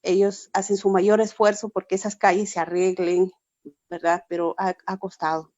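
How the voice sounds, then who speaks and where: A woman's voice: mid-range voice, little pitch movement, normal speed, darkish tone, no echo, lo-fi sound. A woman from Peru, a pastor, giving an earnest testimony in a forum over a video call, often repeating herself and adding "verdad". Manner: earnest, repetitive, frequent "verdad".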